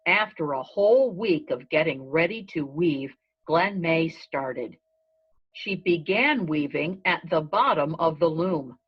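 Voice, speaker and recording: A woman reading a children's story aloud in measured, deliberate phrasing. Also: low-pitched, husky. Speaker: storybook reader. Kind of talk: read-aloud narration of a children's story in a goat's first person. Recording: dull lo-fi recording.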